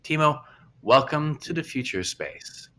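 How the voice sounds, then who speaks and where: A man's voice: on the high side, lively intonation, unhurried, bright, forceful tone. A man hosting a casual podcast interview, asking long, rambling questions with restarts. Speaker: podcast host.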